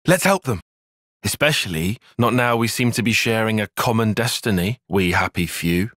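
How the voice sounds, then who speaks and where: A man's voice: lowish voice, lively intonation, normal speed, a bit bright, dry recording, studio quality. A man with a British accent voicing an earnest, cautious young soldier-strategist in a video game, speaking in a precise, formal, articulate way. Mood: urgent. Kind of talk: video game cutscene voice acting.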